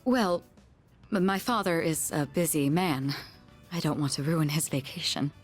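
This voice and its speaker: A woman's voice: low-pitched, unhurried, soft background music. A woman playing a polite, composed, reserved teenage girl in a video game, formal and a little hesitant.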